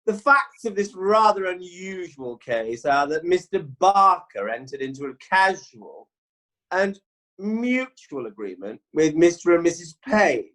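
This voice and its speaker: A man playing a genteel medieval lawyer who pleads a case in a measured, deliberate way. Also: high-pitched, big pitch swings, a bit bright, full character voice, poor audio. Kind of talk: amateur radio drama.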